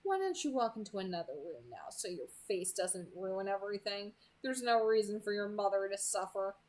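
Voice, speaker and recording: A woman voicing a pleasant, coolly amused male kidnapper in an audiobook, polite and controlling, with a light, smooth delivery. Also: medium pitch, very animated, normal speed, a little mellow, lightly acted. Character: pleasant, softly menacing man, coolly amused kidnapper on phone.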